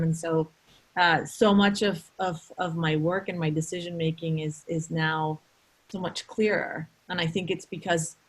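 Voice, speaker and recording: Woman from colombia, kinda husky, a bit low, chatting thoughtfully with lots of restarts. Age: adult with children. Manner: reflective, thoughtful, many restarts. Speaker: consulting artist. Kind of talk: casual conversation.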